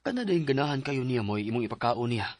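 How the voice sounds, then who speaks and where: A man's voice: medium pitch, big pitch swings, quick pace, close to an everyday voice. A man playing a protective, concerned husband and father in a radio drama.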